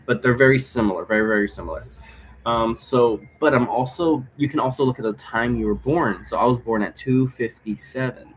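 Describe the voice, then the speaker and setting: A man's voice: medium pitch, brisk. Casual, rambling man with background noise. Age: 30s. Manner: rambling, informal, trails off and circles back. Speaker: game creator explaining rules. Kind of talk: casual, unscripted explainer talk.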